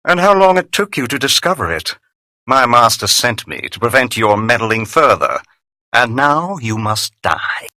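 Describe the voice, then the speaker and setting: An actor playing a gloating, treacherous vampire henchman in a video game, raspy and theatrical, with mocking, formal archaic phrasing. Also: mid-range voice, very animated, a little slow, sharp tone, no room sound, studio quality. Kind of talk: dramatic video game voice acting.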